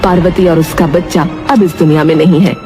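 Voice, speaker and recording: A woman's voice: lowish voice, steady tone, a little fast. A woman storyteller reading scripted narration for a cartoon village tale, with music behind her.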